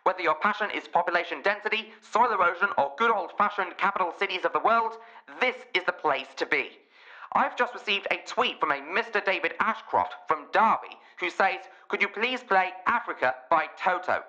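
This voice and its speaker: A man's voice: high-pitched, animated, fairly quick, pushed and bright. A man playing an earnest, self-important radio presenter, polished and grandly announcing, with dull band-limited sound. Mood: earnest, upbeat.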